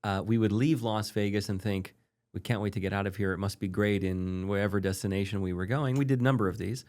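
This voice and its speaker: A man's voice: fairly low pitch, steady tone, fast, a little mellow. A man around forty, a native Polish speaker, interviewing casually as an interested, affirming listener.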